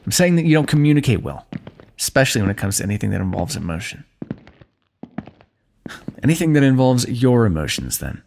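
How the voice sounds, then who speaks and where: A man voicing a kingdom's ruler who gently chides and teases a wounded companion while tending his injury, in an intimate fantasy roleplay. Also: medium pitch, sing-song, fairly quick, vocal fry, long pauses, a little hiss or hum. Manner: gentle, chiding, teasing, close and intimate. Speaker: ASMR roleplay performer. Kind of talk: scripted fantasy ASMR roleplay.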